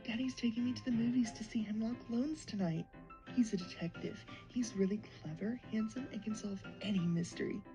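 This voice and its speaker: A woman's voice: on the high side, a little fast, dark tone, soft background music, natural voice. A woman voicing a sweet, admiring young girl, an engine driver's daughter, in a fan cartoon dub. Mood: excited, admiring. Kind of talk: fan comic dub voice acting.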